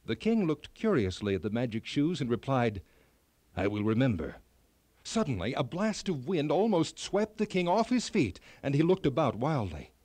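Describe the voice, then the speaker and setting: Male narrator for children's fairy tales, expressive scripted read, animated pitch, close dry sound. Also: normal speed, hardly any pauses, slightly rough, dry recording. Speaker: storyteller.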